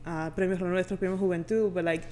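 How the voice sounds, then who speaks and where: A woman's voice: fairly low pitch, fairly even intonation, fast talker, warm tone. A young woman talking casually and informally as a radio personality guest on a podcast. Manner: chatty, informal. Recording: clear background noise.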